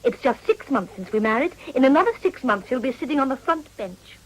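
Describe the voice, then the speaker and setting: An actress playing an ambitious, determined young politician's wife in a British period radio drama, breezy and emphatic. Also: female voice, sits high, lively intonation, a little fast, rough recording. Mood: excited, determined.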